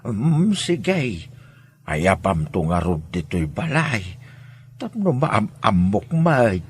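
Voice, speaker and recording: An actor playing a gentle, protective elderly grandfather in an Ilocano drama, with an Ilocano accent. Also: lowish voice, very animated, takes it slowly, slightly rough, full character voice. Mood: pleased, curious. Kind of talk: Ilocano radio-style drama acting.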